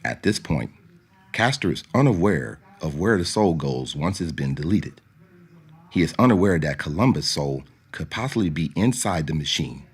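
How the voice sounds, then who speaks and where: A man reading scripted radio drama narration in a measured, explanatory way. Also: rumbling low, expressive, breathy edge. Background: music.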